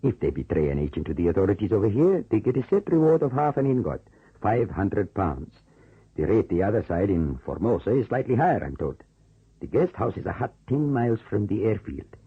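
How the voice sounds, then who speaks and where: Deep, husky, calculating officer, muffled recording. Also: male voice, very animated, dry recording, full character voice. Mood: dry, explanatory. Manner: deliberate, formal, hesitant pauses. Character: measured, calculating male communist officer planning to defect. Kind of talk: old radio drama acting. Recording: muffled, narrow-band old radio recording.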